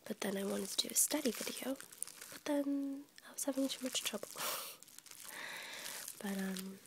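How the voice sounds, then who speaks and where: A young woman with a cold, whispering softly as she rambles for an ASMR video, stuffy and a little congested. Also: on the high side, normal speed, noisy background. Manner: soft unvoiced whisper, rambling with fillers and small laughs. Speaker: ASMR YouTuber. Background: crinkling packaging.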